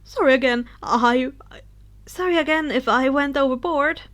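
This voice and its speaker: A woman voicing a sweet, godlike teenage hero boy in a story, speaking in a bright, apologetic, sheepish way. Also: sits high, moderate pace.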